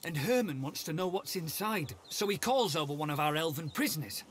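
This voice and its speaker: A man's voice: fairly high pitch, expressive, fairly quick, slight background noise, natural voice. An actor voicing a coarse, shaken male soldier. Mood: rattled. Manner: coarse, rattled. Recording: game audio under music.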